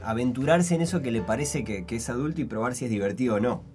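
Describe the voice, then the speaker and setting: A man's voice: medium pitch, fast talker, a little mellow, soft background music. A man, speaking in a casual chat, thinking aloud with many restarts. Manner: reflective, hesitant, many restarts.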